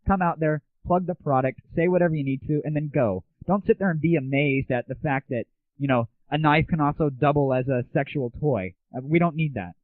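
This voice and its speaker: A man's voice: a little high, a little fast, no echo. A man hosting a casual solo internet audio show, talking in an irreverent, crude, off-the-cuff way. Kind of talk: casual solo rant and chat. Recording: muffled phone-like lo-fi 1990s internet audio.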